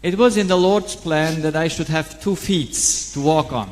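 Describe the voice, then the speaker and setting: A middle-aged man gives a religious talk in short, halting phrases of speech, pausing for an interpreter. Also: fairly high pitch. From Romania. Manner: halting, non-native phrasing. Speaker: guest speaker, doctor and lay preacher. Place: large church hall. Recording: long hall reverb.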